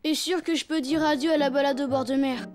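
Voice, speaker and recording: An actress voicing an eager, anxious young child in a children's cartoon, with an earnest, childlike delivery. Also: female voice, sits high, steady tone, a little fast, a little mellow, faint music behind, lightly acted. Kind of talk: French children's cartoon voice acting.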